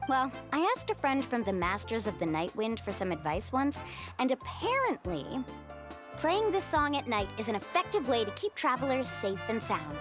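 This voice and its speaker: A woman voicing an upbeat, friendly young tour guide in a video game, with an earnest, expressive delivery. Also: on the high side, normal speed, over music. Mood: cheerful.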